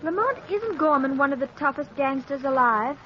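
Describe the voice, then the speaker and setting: A woman's voice: high-pitched, expressive, moderate pace. An actress playing an inquisitive, articulate young woman companion in a 1930s radio drama. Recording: muffled, noisy 1930s radio, murmuring crowd.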